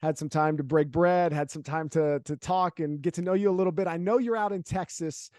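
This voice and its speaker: A man's voice: a little high, brisk, a little mellow, no room sound, clean studio sound. A man hosting a podcast, chatting casually and energetically, swearing now and then and laughing often. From Louisiana, USA. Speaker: podcast host. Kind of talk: casual interview chat.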